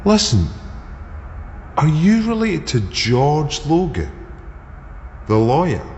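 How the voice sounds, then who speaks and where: An actor playing a bitter, chummy yet unsettling Scottish ex-soldier in a naturalistic radio drama. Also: male voice, a little high, huge pitch swings, takes it slowly, a little mellow, exaggerated voice. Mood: chummy, probing. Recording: dull sound, long reverb, noisy background.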